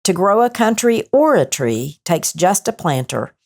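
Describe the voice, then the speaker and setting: Female book panelist, lowish pitch, casual, appreciative, digressive. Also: big pitch swings, a little slow, a little mellow. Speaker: show panelist.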